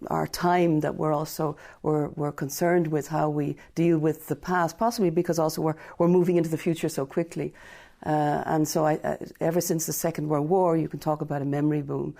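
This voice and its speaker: A middle-aged woman with an Irish accent answers interview questions in a measured, reflective manner. Her voice sits low and sounds mellow and warm. She thinks aloud as a guest scholar on a radio show. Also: fairly even intonation, gravelly. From Ireland. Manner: measured, reflective, with some restarts. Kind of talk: thoughtful academic interview answers. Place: radio studio.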